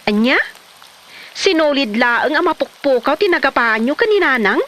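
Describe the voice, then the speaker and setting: A woman's voice: high-pitched, lively intonation, normal speed, a bit bright. A woman acting an exasperated daughter questioning her quarrelling parents in an Ilocano comedy radio drama. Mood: exasperated, reproachful.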